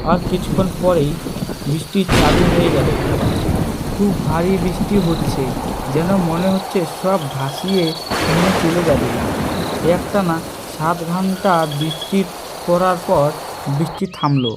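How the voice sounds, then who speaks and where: A man's voice: high voice, a little slow, very noisy. A man reading scripted narration for a children's cartoon story.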